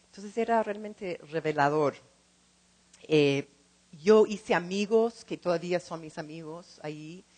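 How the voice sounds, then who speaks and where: Halting middle-aged woman, non-native speaker. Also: lowish voice, a little mellow. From lives in California. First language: not Spanish, speaks it as a second language. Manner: halting, searching for words, repeats words. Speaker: translator and program co-director. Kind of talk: informal public talk.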